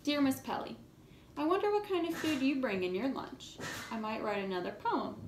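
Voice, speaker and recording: A woman reading as an earnest, indignant young schoolchild in a storybook, with expressive emphasis. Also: sits high, normal speed, voice pushed up. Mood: cheerful, warming up. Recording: echoey room, faint background noise.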